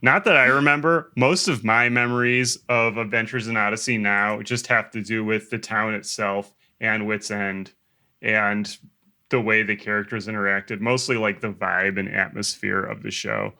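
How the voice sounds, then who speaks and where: A man's voice: on the low side, little pitch movement, moderate pace, vocal fry, pushed and bright. A man co-hosting a casual comic chat, talking in an informal, joking way.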